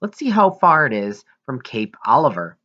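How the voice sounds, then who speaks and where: A man's voice: mid-range voice, very animated, normal speed, muffled recording, close to an everyday voice. A man reading the lines of a supportive, practical, easygoing grandmother in a storybook. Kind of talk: audiobook character dialogue.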